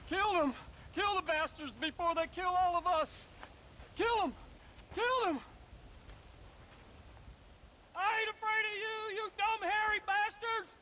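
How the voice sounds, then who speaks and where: An actor playing a fierce, paranoid backwoods hunter with a rural American accent and a manic, ranting manner. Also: male voice, extremely high pitch, a little slow, bright, forceful tone, full character voice. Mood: furious. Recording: faint outdoor ambience noise.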